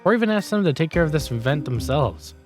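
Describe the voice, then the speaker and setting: Man voicing a lively, blunt senior office worker. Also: fairly high pitch, quick pace, faint music behind. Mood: matter-of-fact, critical. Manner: matter-of-fact, grumbling, critical. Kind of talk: manga dialogue voice acting.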